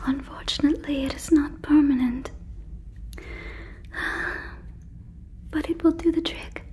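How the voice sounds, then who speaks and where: A woman's voice: high voice, fairly even intonation, a little fast, mellow, close to an everyday voice. A woman voicing a friendly, naive female alien in an ASMR roleplay, whispering breathily and very close to the mic with soothing, stilted, formal phrasing. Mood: apologetic, blunt. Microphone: fluffy microphone, very close.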